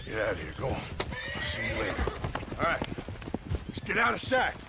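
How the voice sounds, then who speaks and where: An actor playing a weary, gruff male outlaw who gives blunt, dry orders in a video game cutscene. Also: mid-range voice, wildly swooping pitch, fairly quick, heavy background noise. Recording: game score playing behind.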